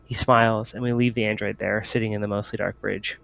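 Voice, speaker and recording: A man's voice: fairly low pitch, flat delivery, a little fast, gravelly, a bit bright, slight background noise, rough recording. A man working as game master, narrating a sci-fi tabletop roleplaying game in descriptive, improvised storytelling.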